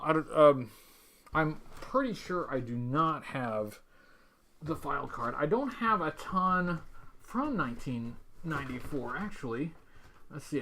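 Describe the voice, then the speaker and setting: Man with a higher-pitched voice, rambling casually. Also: a bit bright, slight background noise. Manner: rambling, halting, stop-start delivery; wry, tongue-in-cheek. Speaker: livestream host. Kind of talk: casual livestream chat reading viewer messages.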